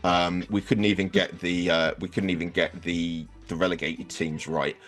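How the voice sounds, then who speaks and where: A man's voice: low voice, level tone, moderate pace, a bit bright, faint music behind. A man hosting a sports podcast, talking casually and wryly as he explains season results, with some swearing. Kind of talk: casual football podcast review.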